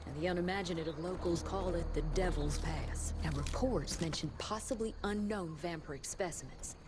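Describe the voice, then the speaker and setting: An actress playing a composed, assured institute doctor in a video game, speaking formally, precisely and drily. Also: female voice, lowish voice, normal speed, close to an everyday voice. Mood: matter-of-fact. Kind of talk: video game cutscene acting. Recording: loud ambient noise behind. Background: loud ambient noise.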